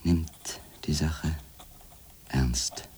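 Very deep, slow, brooding man. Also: darkish tone, a little hiss or hum, heavily acted. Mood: brooding, pleading. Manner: halting, brooding, grave. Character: obsessed, solitary male journalist writing to his wife. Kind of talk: radio drama letter monologue.

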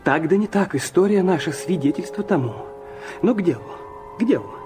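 An actor playing a brooding, earnest young man recounting his wounded past in a theatrical radio drama, in halting phrases that drop to a hush. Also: high voice, animated, music in the background. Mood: agitated, insistent. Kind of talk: literary radio drama narration.

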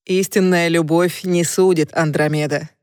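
A woman voicing a tender young man who is devoted to his love and teases her gently. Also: fairly low pitch, fairly even intonation, moderate pace, clear, resonant tone, no echo, clean studio sound, natural voice. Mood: teasing.

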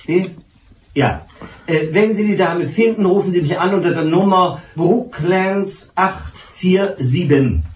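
Police inspector for radio drama: slightly high male voice, methodical, probing, dryly authoritative. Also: slight background noise. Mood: brisk, official. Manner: probing, deliberate, authoritative, dry. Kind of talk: German radio drama acting.

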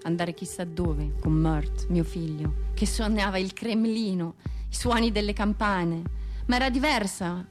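A woman's voice: medium pitch, measured pace, a little mellow, soft background music, natural voice. An actress playing an anguished exiled Russian woman poet, pleading yet defiant, in an impassioned, declamatory radio monologue. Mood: tender, wistful.

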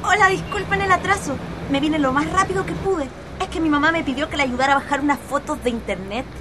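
A woman's voice: high voice, fast talker, heavy background noise, close to an everyday voice. A female performer playing a friendly, chatty schoolgirl with a Chilean accent in a radio drama. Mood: lively. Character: Cata, friendly apologetic schoolgirl.

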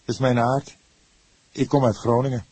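Man voicing an earnest, higher-pitched young suspect. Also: level tone, moderate pace, a little mellow, breathy edge, dry recording, natural voice. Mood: frank, cocky. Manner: emphatic, flaring into defiance. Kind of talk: acted audiobook dialogue.

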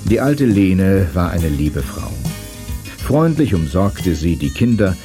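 A man's voice: low-pitched, very animated, takes it slowly, over music, exaggerated voice. A man narrating a German children's audio drama in a scripted storybook style.

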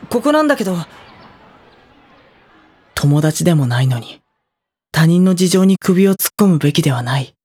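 A performer playing a gentle, hesitant high-school boy who narrates his own story in a Japanese audio drama, with a husky, breathy voice. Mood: hesitant, awkward.

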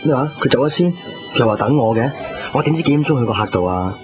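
A man's voice: mid-range voice, lively intonation, fast, close to an everyday voice. An actor playing a self-assured young working man with casual Hong Kong Cantonese speech in a radio drama. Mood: impatient. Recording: dull lo-fi radio recording, music behind.

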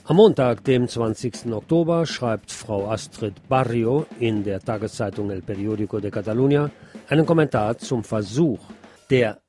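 A man presenting a scripted radio news commentary, reading out press reports in a measured, explanatory way. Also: mid-range voice, warm tone.

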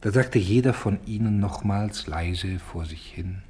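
Narrator, male: deep register, husky and breathy, literary radio drama delivery. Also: unhurried, a little mellow.

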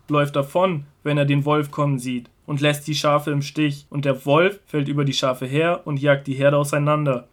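A calm male teacher and shepherd figure, played by an actor in a biblical audio drama. The voice is a little higher than average for a man. He speaks in measured, earnest declarations with quiet authority. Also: lightly acted. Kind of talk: scripted Bible audio drama.

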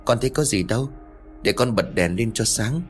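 A man's voice: lowish voice, animated, moderate pace, soft background music, close to an everyday voice. A man voicing a worried middle-aged son and father, soothing his frightened mother. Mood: anxious soothing. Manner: soothing, reassuring.

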